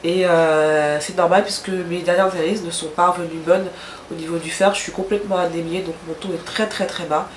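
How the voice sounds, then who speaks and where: A woman's voice: fairly low pitch, fairly even intonation, a little fast, echoey room, slight background noise. A woman with a stuffy, nasal voice from a cold, chatting casually to camera in a rambling way with many hesitations. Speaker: vlogger.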